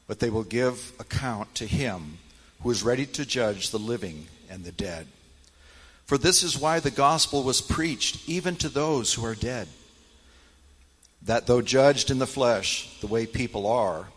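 A man reads scripture aloud as a church elder, solemnly and with measured, deliberate phrasing. His voice is husky. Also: lowish voice, fairly bright tone. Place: large church hall, speaking to congregation. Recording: long hall reverb.

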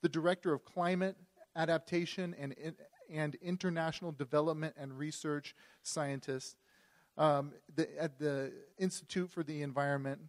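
A young man introducing a guest speaker in a casual, joking way. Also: on the high side. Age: young adult. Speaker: student introducer.

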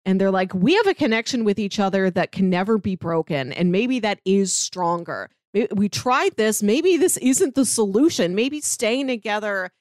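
A woman co-hosting a podcast in casual chat, telling stories animatedly, acting out quotes and slipping in quick asides. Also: medium pitch, no room sound, studio quality. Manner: animated storyteller, acts out quotes, quick asides, laughs often. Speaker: podcast co-host.

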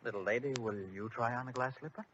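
An actor playing a gallant, ardent young prince in a courtly, romantic old-time children's radio play. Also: male voice, mid-range voice, quick pace, slight background noise, lightly acted. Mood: courtly, commanding. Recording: dull old radio recording with loud music.

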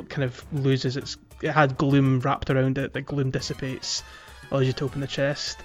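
A man giving casual, chatty gaming commentary as a podcast host, telling things informally. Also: medium pitch.

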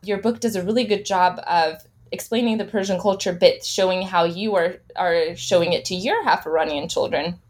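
A woman's voice: fairly low pitch, normal speed, few pauses. Chatty woman hosting a podcast. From United States. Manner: warm chatty delivery with fillers and restarts. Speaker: podcast host. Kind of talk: podcast interview.

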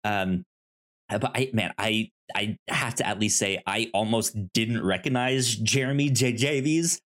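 A man's voice: on the low side, measured pace, fairly bright tone. A man hosting a podcast in a casual, chatty style, with a tendency to stammer and repeat syllables. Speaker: podcast host. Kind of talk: casual podcast chat about movies and show news.

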